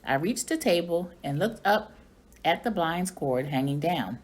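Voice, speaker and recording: Low-voiced woman, very animated story reader. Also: a little slow. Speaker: teacher reading aloud. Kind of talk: expressive children's story narration.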